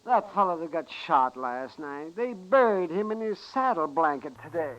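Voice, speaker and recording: Casting: earnest, fussy American western doc, animated and piercing, on a dull vintage radio recording. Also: male voice, a little high, normal speed. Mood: eager. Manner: fussy, emphatic. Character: excitable, earnest frontier town doctor. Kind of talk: 1950s radio drama acting.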